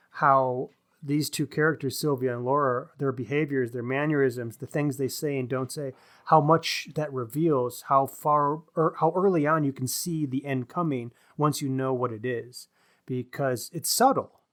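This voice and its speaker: A man co-hosting a book podcast, discussing in a casual but analytical, measured manner. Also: on the high side, steady tone, a bit bright. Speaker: podcast co-host discussing books.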